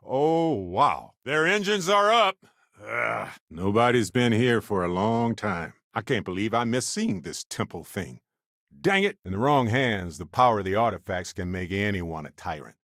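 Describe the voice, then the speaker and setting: An actor playing a wry, good-humored space explorer companion in a video game, talking in an expressive, conversational way. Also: male voice, fairly low pitch, a little slow, no echo, studio quality. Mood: amazed. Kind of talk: video game character voice lines.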